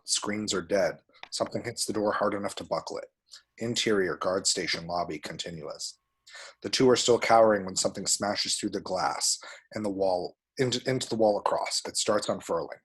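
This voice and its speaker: A man with a husky voice reads screenplay action descriptions aloud as a narrator. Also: lowish voice, normal speed. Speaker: table-read action narrator.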